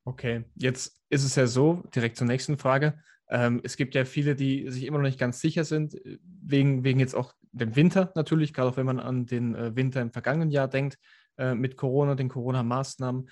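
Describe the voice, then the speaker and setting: A man's voice: mid-range voice, fairly even intonation, a little fast, a little mellow. Twenties male interviewer, hesitant questions full of fillers. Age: 20s. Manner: hesitant, many fillers when asking questions. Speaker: podcast co-host and interviewer.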